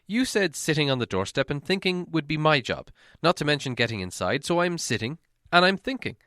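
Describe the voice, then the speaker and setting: A man's voice: a little high, sing-song, brisk, sharp tone, dry recording, clean studio sound. A man voicing an anxious, put-upon small male hobbit in a storybook reading, fretting and exclaiming. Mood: defensive. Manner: fretful, exclamatory grumbling.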